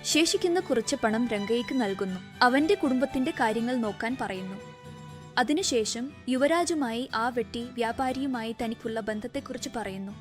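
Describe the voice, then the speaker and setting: A woman's voice: fairly high pitch, animated, normal speed, over music. A woman reading scripted narration for a cartoon moral story.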